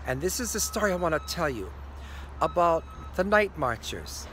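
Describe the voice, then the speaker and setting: Older hawaiian guy telling a spooky story, kinda high voice, faint hum behind. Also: very animated. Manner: slow, hushed at key moments. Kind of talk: spoken ghost story. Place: green screen studio. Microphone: facing camera at close range.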